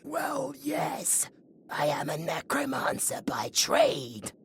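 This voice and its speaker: A man with a British accent playing a flirtatious, gleefully wicked undead necromancer in a theatrical, taunting audio roleplay, slipping between breathy whispers and shouts. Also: on the high side, huge pitch swings, slow pace, exaggerated voice. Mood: flirtatious, wry. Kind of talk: ASMR audio roleplay acting.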